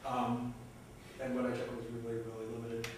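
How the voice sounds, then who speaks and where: A man's voice: medium pitch, monotone, moderate pace. Male class leader, casual and questioning, recorded from across an echoey room with hiss. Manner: informal, self-deprecating, asks many questions. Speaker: class instructor. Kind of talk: leading a classroom discussion. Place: lecture classroom. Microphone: far room mic. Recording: echoey classroom from far off, noisy.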